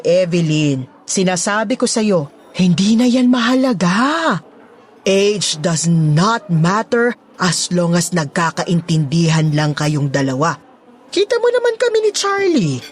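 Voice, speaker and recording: A woman's voice: lowish voice, animated, measured pace, husky. A woman playing a chatty married boss lady in an audio horror story, coaxing and teasing insistently like a matchmaker.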